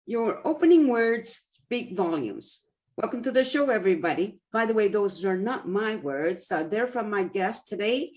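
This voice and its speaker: A woman's voice: mid-range voice, lively intonation, moderate pace, a little mellow. Woman host who laughs easily. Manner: laughs easily, light and friendly questions. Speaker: podcast host. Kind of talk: casual interview.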